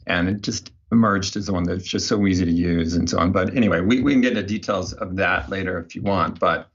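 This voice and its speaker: Deep-voiced man, clear but dull recording. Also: fast talker. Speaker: guest, behavior design researcher. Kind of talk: conversational interview storytelling.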